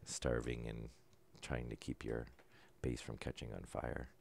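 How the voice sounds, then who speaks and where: A man calmly talking through a survival game as he plays, low-key and unhurried, with no put-on enthusiasm. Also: vocal fry, slight background noise. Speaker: gameplay commentator. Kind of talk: casual let's-play commentary.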